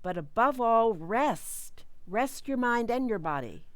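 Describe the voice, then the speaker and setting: An actress playing a stern, probing doctor in a radio thriller, with clipped, authoritative delivery. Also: female voice, a little high, sing-song, slow, no room sound. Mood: reassuring, firm. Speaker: community theater actor. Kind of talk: old-time radio thriller acting.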